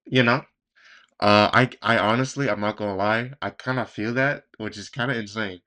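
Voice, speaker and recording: A man with a fairly low voice hosts a reaction video. He comments in an understated, nonchalant way, keeping it conversational and casual. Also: steady tone, moderate pace, sharp tone.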